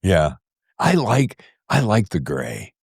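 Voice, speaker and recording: A man's voice: deep voice, huge pitch swings, takes it slowly, vocal fry, slightly rough, no echo, clean studio sound. A man hosting a casual book interview, musing aloud and rephrasing his questions as he goes. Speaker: podcast host interviewer.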